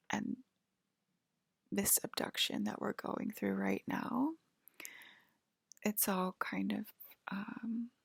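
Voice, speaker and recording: A woman performs a hushed ASMR roleplay in a breathy, whispery voice with very heavy creak. She speaks slowly and haltingly, soothing the listener like a patient clerk. The sound is close and dry. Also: medium pitch, dry recording.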